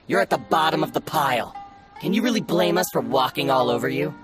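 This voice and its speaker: An actor playing a cocky, bullying bandit ronin in a video game, mocking and taunting. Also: male voice, on the high side, fairly quick, music in the background, natural voice. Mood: mocking, contemptuous.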